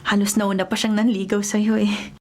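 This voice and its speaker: A woman's voice: mid-range voice, little pitch movement, normal speed, lightly acted. A woman playing a laid-back, boyish young woman in a Filipino radio drama, casual and slangy with a Filipino accent. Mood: wistful, casual. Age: young adult.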